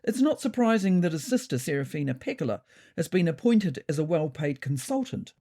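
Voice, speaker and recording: Probing reporter for an animal tale, voiced by a woman: deliberate, accusing, persistent, mellow tone with animated emphasis. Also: lowish voice. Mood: pointed, accusing. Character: persistent, probing newspaper reporter questioning cat politicians. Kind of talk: audiobook storytelling.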